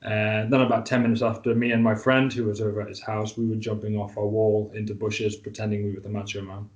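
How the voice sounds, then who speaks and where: A man, chatting easily in an interview as a guest wrestler, with a self-deprecating manner and many "uh" pauses. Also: lowish voice, level tone, dull audio. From Isle of Man. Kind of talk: casual interview chat.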